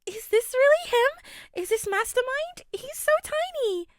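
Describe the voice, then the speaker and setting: A giddy teenage villain girl in a story reading. Her voice leaps up very high, squealing and gushing. She is cutesy and hyper, in a close, dry sound. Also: lively intonation, unhurried, dry recording. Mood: giddy excitement.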